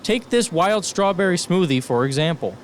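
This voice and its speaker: A man's voice: high-pitched, animated, moderate pace, slight background noise. A young American man narrating scripted news reports as a student television reporter.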